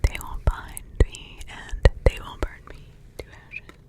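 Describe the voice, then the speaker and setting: A woman in an ASMR roleplay voicing a centuries-old witch mother who softly whispers, slowly ponders and hums to herself between thoughts. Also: close to an everyday voice. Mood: musing. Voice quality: soft whisper throughout.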